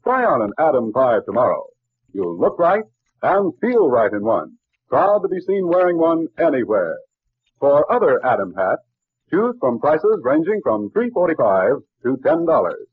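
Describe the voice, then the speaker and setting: A man's voice: on the high side, very animated, moderate pace, slightly rough, no room sound. A man delivering a scripted 1940s radio advertisement as a polished, emphatic announcer. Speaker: commercial announcer. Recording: muffled old radio broadcast.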